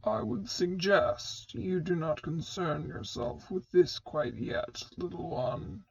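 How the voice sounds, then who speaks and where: Muffled sound, woman performing a stern armored male mentor, low gravelly voice, formal, authoritative, audio drama villain. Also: animated, slow, heavily acted. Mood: calm advising.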